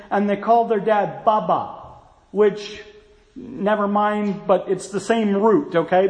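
A man with a notably high voice delivers a sermon, quoting scripture in an earnest tone. His words ring out with a long reverb, as if the mic picks him up in a large hall. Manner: earnest, quotes scripture, asides to kids. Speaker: preacher.

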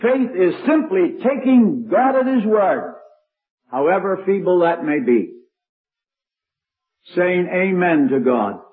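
Older male preacher, slow and fairly high, strong carrying voice, tinny archival sound. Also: very animated. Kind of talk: old-time sermon.

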